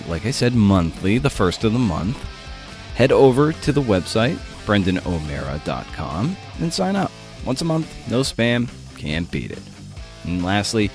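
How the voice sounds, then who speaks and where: A man hosting an interview podcast in a casual, informal conversational way. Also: low voice. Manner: informal, peppered with "you know". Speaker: podcast host.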